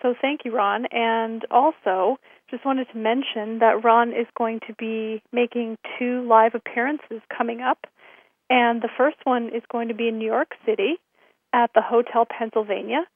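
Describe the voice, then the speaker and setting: A woman hosting a radio show, hoarse from a cold, giving friendly announcements with many fillers. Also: fairly high pitch, fairly even intonation, moderate pace, dry recording. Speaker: host. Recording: thin phone-line sound.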